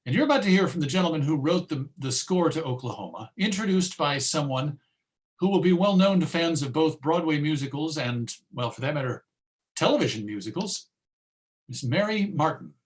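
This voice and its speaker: A man's voice: fairly high pitch, lively intonation, brisk, poor audio. Conversational man giving a talk. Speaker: presenter. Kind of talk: informal talk with historical commentary.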